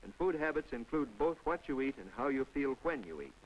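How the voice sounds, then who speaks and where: A man's voice: medium pitch, sing-song, brisk, slight background noise, rough recording. A man narrating a scripted 1950s public information film in a folksy, instructive way, with conversational asides to the viewer. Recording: vintage 1950s film soundtrack.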